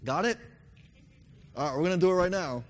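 A young man preaching a sermon with a hoarse voice, earnestly leading his congregation in song. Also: sits high, animated, brisk. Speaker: pastor. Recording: long reverb hall.

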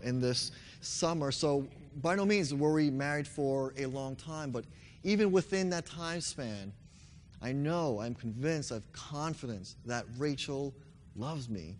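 A young man preaching a sermon with a hoarse voice, earnestly leading his congregation in song. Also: medium pitch, slight background noise. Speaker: pastor. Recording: long reverb hall.

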